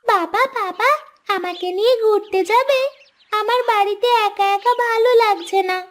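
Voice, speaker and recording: A woman's voice: very high-pitched, normal speed, slight background noise. A woman voicing a pleading, insistent little daughter in a cartoon, childlike and whiny. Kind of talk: cartoon voice acting.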